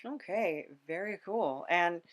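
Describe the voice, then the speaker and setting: A woman's voice: fairly low pitch, expressive, slow pace. A woman hosting a podcast, talking casually as an interviewer. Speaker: podcast host.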